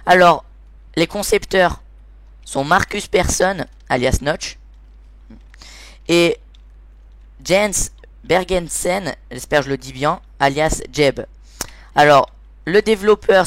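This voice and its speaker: A man with a somewhat high-pitched voice hosts an informal explainer over gameplay. His delivery is hesitant, and he often stops to search for the next word. The sound is very dry, with hardly any room in it. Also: no echo. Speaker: host of a gaming video series.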